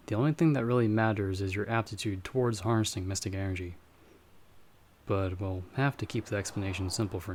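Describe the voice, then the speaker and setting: A lowish-pitched actor playing a male investigator with a knowledge of magic, calm and guarded. He guides a novice patiently, in measured phrases with pauses, as in an audio roleplay. There is clear background noise and ambience behind him. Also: lightly acted. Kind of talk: ASMR audio roleplay acting.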